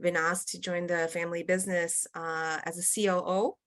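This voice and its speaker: A woman's voice: fairly low pitch, little pitch movement, normal speed, a bit bright. Chatty middle-aged woman guest. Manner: chatty, many fillers and restarts, laughs often. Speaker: guest, company executive. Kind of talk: casual interview.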